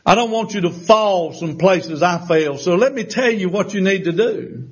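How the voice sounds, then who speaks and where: Husky folksy preacher in his 60s. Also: high voice, lively intonation, normal speed. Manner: folksy, preaching with emphatic rises and call-and-response. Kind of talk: folksy sermon. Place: church. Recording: dull lo-fi sermon recording.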